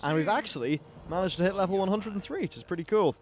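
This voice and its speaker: A man's voice: high-pitched, fast. A man giving casual live-play game commentary in a chatty, wry, casually sweary way. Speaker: gaming video host. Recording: clear background noise and game ambience.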